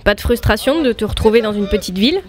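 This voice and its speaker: A woman's voice: mid-range voice, animated, fast talker, darkish tone, a little hiss or hum. A woman interviewer reading prepared introductions and questions.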